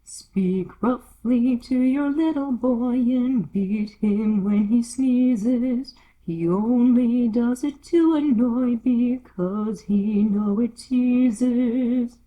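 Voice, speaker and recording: A woman voicing a rude, bossy, violent-tempered Duchess with a hoarse, growling, emphatic delivery. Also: on the high side, phone-quality sound, pitched above the performer's own voice. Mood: harsh sing-song. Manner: curt, loud, drawn-out emphatic delivery.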